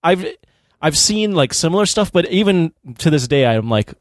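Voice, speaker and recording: A man's voice: a little high, big pitch swings, moderate pace, no room sound, clean studio sound. A man co-hosting a film podcast in casual chat, acting out reactions and peppering his talk with "like". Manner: animatedly quotes imagined reactions, says 'like' often. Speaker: podcast co-host reviewing films.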